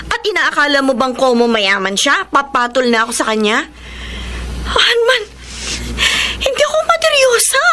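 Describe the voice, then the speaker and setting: A woman's voice: high voice, big pitch swings, moderate pace, sharp tone, a little hiss or hum, exaggerated voice. An actress playing an earnest young woman engaged to be married, in a classic radio drama. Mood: upset. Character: young bride-to-be, earnest and proud.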